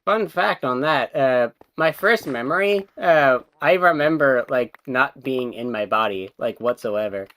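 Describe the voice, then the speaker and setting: Casting: young adult man, streamer, loose rambling chat, laughs a lot, mid pitch. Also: expressive, unhurried, few pauses, fairly bright tone. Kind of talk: casual chat.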